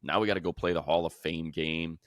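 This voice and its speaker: An American man chatting casually about sports as a co-host, telling slangy, animated stories. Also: rumbling low, fairly quick, no echo, studio quality. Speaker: sports radio play-by-play announcer and podcast co-host.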